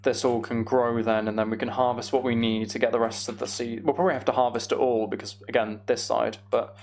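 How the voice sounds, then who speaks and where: A man's voice: medium pitch, level tone, a little fast, darkish tone, a little hiss or hum. A man streaming a video game, chatting casually and thinking aloud as he works things out. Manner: muttering to himself while working things out. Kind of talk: casual live gameplay commentary, thinking aloud.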